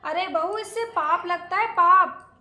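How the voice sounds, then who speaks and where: Nagging auntie played by a woman, older and old-fashioned, high voice, moralizing comedy skit, echoey room. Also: normal speed, fairly bright tone. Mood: scolding. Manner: dramatic, moralizing, chatty. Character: scolding, old-fashioned older aunt, nagging and self-righteous. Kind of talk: domestic comedy skit acting.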